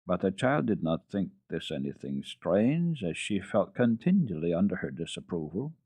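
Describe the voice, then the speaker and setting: A man narrating a classic children's story dramatically, in an expressive, measured storytelling manner. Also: sits low, breathy edge, no echo. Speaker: storyteller, children's radio program host.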